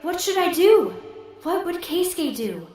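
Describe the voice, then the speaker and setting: A woman's voice: very high voice, sing-song, a little slow, darkish tone, heavily acted. A woman playing an anxious, earnest fourteen-year-old military trainee thinking to herself in an audio drama. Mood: distressed. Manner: fretful, earnest inner monologue. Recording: heavy echo on voice, music behind.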